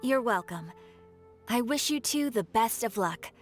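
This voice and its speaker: An actress playing a polite guild receptionist in a video game, speaking in a formal, measured, courteous way. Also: female voice, fairly high pitch, animated, soft background music. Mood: helpful, composed.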